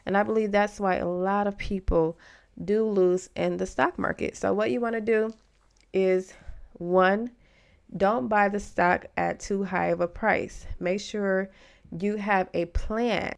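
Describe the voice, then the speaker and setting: A woman's voice: medium pitch, fairly even intonation, a little slow, vocal fry, clean tone. A woman hosting an informal investing explainer for beginners, speaking in an encouraging, reassuring way as she gives advice. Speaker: host of a stock investing channel for beginners. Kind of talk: informal explanatory video commentary.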